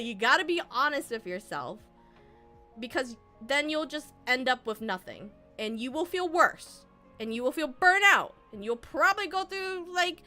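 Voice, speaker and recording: A woman's voice: high voice, bright, forceful tone. A young adult woman streamer, Vietnamese by first language, giving earnest, rambling advice to her chat with laughs and restarts.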